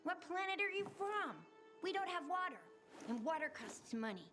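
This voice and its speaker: A woman's voice: very high voice, very animated, moderate pace, sharp tone, soft background music, exaggerated voice. A woman acting a defiant, haggling, hard-up street seller in a video game.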